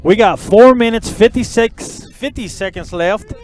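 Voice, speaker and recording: Guy with a high voice calling a local football game outside, folksy, jokey. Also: unhurried, a little mellow. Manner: folksy, joking, addresses the folks. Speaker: play-by-play announcer. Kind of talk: high school football commentary.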